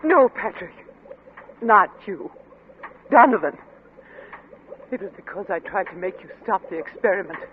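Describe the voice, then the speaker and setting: Casting: female actor as gentle grieving wife, old-time radio style, hesitant and slow, noisy vintage recording. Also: mid-range voice, expressive, phone-quality sound, natural voice. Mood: grave, pained. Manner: hesitant, measured, tender. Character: gentle, sorrowful, devoted wife of a troubled scientist. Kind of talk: 1940s radio drama acting.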